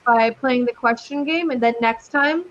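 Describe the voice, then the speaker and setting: A woman's voice: on the high side, moderate pace, a bit bright, muffled recording. A young woman from California with a Californian American accent, tutoring English over a video call in a chatty, upbeat way with casual slang. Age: young adult.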